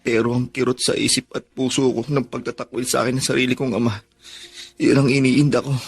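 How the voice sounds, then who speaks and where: A man's voice: medium pitch, level tone, a little fast, husky, no room sound, faint music behind. A man playing a poor, long-suffering, devoted son in a Tagalog radio drama, speaking earnestly and respectfully. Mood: sorrowful, resolute.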